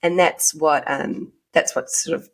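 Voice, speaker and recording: A woman's voice: low voice, fairly even intonation, a little slow. A woman from New Zealand with a New Zealand accent, talking conversationally as an interview guest in an informal, hedging way. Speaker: podcast guest.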